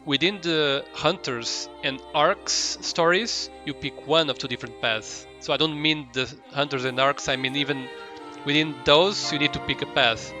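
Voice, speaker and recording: Man with a bright voice, rambling game streamer. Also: fairly high pitch, moderate pace, hardly any pauses, soft background music. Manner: rambling, hesitant, searching for words. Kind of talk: casual live gameplay commentary.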